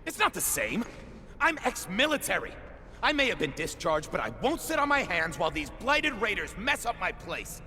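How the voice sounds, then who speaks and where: An actor playing a gruff, stubborn ex-military patrol guard in a video game, blunt and emphatic. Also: male voice, sits high, sing-song, moderate pace, sharp tone, a little hiss or hum, heavily acted. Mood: defiant. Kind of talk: dramatic video game cutscene acting. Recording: music behind the voice.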